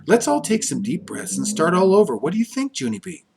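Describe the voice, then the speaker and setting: A patient storybook mother, voiced by a male reader, soothing and calm. She coaxes gently, in a voice fairly high for a man. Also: a little fast, gravelly, noisy background, exaggerated voice. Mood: coaxing. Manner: calming, coaxing.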